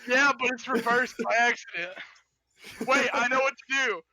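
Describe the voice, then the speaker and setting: A man's voice: very high voice, normal speed, sharp tone, low-quality recording. A young man in a casual group podcast, tossing out playful quips. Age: young adult. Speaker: podcast co-host.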